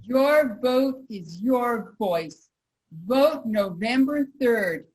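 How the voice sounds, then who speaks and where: A woman with a husky voice making a slogan-like appeal to an audience. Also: a little high, expressive, drawn-out words, darkish tone, poor audio.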